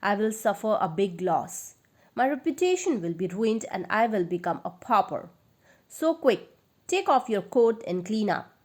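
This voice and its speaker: A woman voicing a rich, bullying male merchant who scolds and makes loud demands in a storybook reading. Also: medium pitch, sing-song, normal speed. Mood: adamant, demanding.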